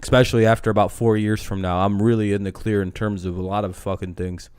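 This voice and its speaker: A man's voice: lowish voice, flat delivery, fast, darkish tone, clean tone, no echo, studio quality. A man in his twenties chatting casually on a livestream, rambling and profane, often stopping to restart his sentences. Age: 20s. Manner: rambling, profane, self-interrupting. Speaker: livestream host.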